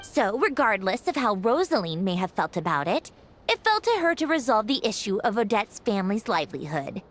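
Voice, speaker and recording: A woman playing a composed, analytical young woman in a video game, frank and measured. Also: medium pitch, big pitch swings. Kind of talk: video game dialogue acting. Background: game music.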